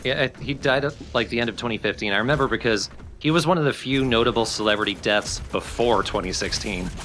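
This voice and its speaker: A man's voice: medium pitch, fast, fairly bright tone, slight background noise. A man giving casual, wry, conversational co-commentary on a video game. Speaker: let's play co-commentator.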